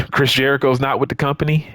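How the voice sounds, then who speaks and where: A man's voice: medium pitch, flat delivery, moderate pace, pushed and bright, no echo, studio quality. A man talking casually and off the cuff to his viewers as a gaming YouTuber, emphatic and repeating phrases for stress, often checking in with the listener. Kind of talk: casual, unscripted vlog commentary to viewers.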